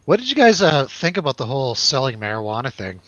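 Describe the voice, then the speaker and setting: Male show host, mid-pitched, easygoing and a little hesitant, casual review chat, dry and slightly muffled recording. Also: big pitch swings, fast, a bit bright, no echo.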